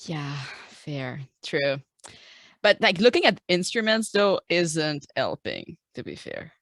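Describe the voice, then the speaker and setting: A woman's voice: low voice, expressive, takes it slowly, a bit bright, no echo, studio quality. A woman playing a tabletop role-playing game, chatting and improvising casually.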